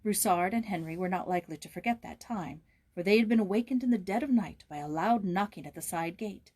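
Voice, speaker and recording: A woman's voice: mid-range voice, brisk, darkish tone. A woman reading a storybook aloud as host, with casual asides.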